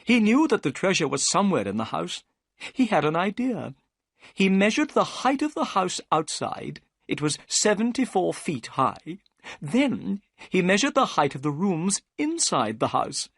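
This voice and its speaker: A reader voicing a nervous, talkative gentleman who speaks with eager excitement, in a storybook reading. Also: mid-range voice, a little slow, gravelly, breathy edge, dry recording, studio quality, voice pushed up, heavily acted.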